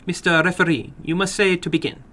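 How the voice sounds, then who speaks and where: Man putting on a high, brisk, sinister little foreigner. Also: fairly even intonation, sharp tone. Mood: precise, commanding. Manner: stilted non-native phrasing, precise, courteous, commanding. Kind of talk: dramatic horror story reading.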